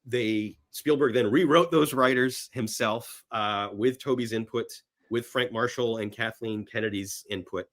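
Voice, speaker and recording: A man's voice: medium pitch, unhurried, few pauses, fairly bright tone. A man telling film-history anecdotes casually and emphatically as a podcast guest, often slipping into quoted dialogue.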